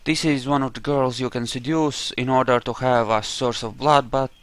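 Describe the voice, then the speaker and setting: A man's voice: medium pitch, fairly even intonation, normal speed, no echo. A man giving casual game commentary, chatty and thinking aloud as he plays. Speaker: gamer narrating a playthrough.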